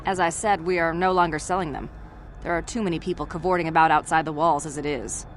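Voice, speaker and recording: A woman playing an officious, businesslike mining company clerk in a video game, with a formal, brisk manner. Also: low voice, steady tone, soft background music, close to an everyday voice. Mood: curt, dismissive. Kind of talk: video game character acting.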